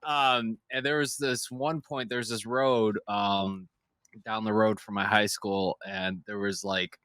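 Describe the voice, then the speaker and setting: A man's voice: lowish voice, fairly even intonation, takes it slowly, fairly bright tone, smooth, no echo. A young man hosting a podcast, chatting loosely, swearing and laughing, wandering off on tangents. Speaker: podcast host. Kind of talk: casual podcast chat.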